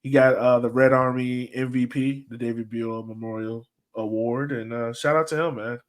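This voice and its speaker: A man's voice: mid-range voice, steady tone, moderate pace. Casual guy hosting a soccer podcast. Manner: rambling, colloquial, conversational. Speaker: podcast host. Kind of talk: casual soccer fan chat.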